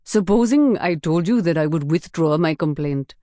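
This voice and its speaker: A woman's voice: deep voice, animated, normal speed, warm tone, no room sound, studio quality. A woman voicing a defiant male police officer, indignant and pleading with his captors.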